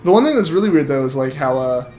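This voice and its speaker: A man's voice: mid-range voice, very animated, quick pace, darkish tone, faint music behind. Rambling young man on a live stream. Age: young adult. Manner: rambling, filler-heavy, keeps saying like. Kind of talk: casual live-stream chat, reading viewer comments. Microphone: headset mic feeding back to headphones.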